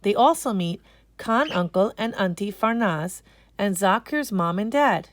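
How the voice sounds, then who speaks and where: A woman narrating a children's storybook aloud, expressive and explaining things for young listeners. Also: medium pitch, unhurried, dry recording.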